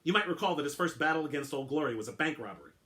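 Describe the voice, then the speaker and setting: A formal, slightly strange male clone, as a man puts him on, raised a little above the reader's usual pitch, explaining himself patiently and in detail. His voice swings widely and carries a bright, forceful edge. He keeps catching and correcting his own words. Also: expressive, rapid-fire. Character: eccentric male clone, earnest, oddly formal.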